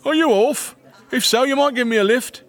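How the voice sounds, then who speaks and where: A man voicing a loud, vulgar, jovial English tradesman in a comic period audiobook, overfamiliar and coarse in manner. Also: very high voice, moderate pace, voice pushed up. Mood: chummy.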